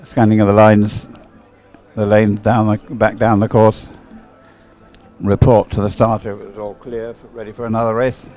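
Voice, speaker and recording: An older man with a fairly low voice commentates live on boat racing. He talks in a hesitant, chatty way and wanders into asides. There is faint music behind him. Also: dark tone, rough recording. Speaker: sports commentator, former umpire.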